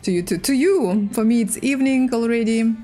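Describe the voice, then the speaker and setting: A woman with a Russian accent, a native Russian speaker, hosting a casual chess livestream in halting, hesitant English. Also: on the high side, dark tone, soft background music. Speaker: livestream host and chess player. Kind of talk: casual livestream chat while playing chess.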